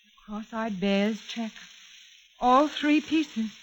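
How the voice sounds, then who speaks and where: An actress playing a naive, anxious young heiress in danger, earnest and dramatic, in old-time radio suspense style. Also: female voice, on the high side, slow pace, a little hiss or hum. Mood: subdued, wary. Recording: dull vintage radio recording with music.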